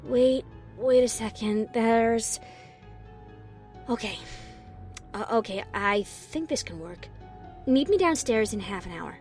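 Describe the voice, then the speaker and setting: A man's voice: sits high, expressive, takes it slowly, pauses often, faint music behind, voice pushed up, full character voice. A man voicing a clever, scheming young female unicorn with a breezy, fake-casual manner.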